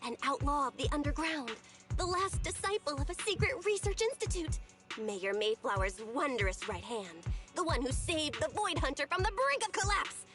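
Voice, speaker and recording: A woman's voice: high voice, sing-song, normal speed. A woman playing a spirited young heroine, an underground operative, in scripted video game dialogue. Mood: grand, playful. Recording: game audio with music behind.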